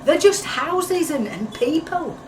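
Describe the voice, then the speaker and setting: Actress as wry narrating daughter, echoey, noisy ambience, slightly high voice, radio drama. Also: female voice, expressive, slow pace, darkish tone, distant mic. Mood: wry, patient. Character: wry grown child narrating a family trip. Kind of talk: first-person narration and dialogue in radio play.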